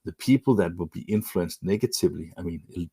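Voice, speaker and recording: A man with a Danish accent, presenting a webinar lecture in English and often pausing with fillers and restarts. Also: low-pitched, mellow, slightly rough, no echo, clean studio sound. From Denmark. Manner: hesitant, many fillers and restarts. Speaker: webinar presenter, magazine editor.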